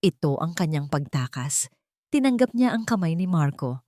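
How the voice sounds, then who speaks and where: A woman's voice: low-pitched, very animated, normal speed, warm tone, dry recording, clean studio sound. A woman reading scripted dramatic narration of a romance story as a storyteller.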